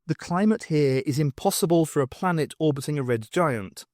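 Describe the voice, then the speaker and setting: A man acts out a worldly woman lecturer for an audiobook, brisk and wry with a flustered edge. The pitch is fairly high and very mobile, in a close, dry recording. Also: expressive, a little mellow, no echo, natural voice. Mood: brisk, instructive. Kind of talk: audiobook character acting.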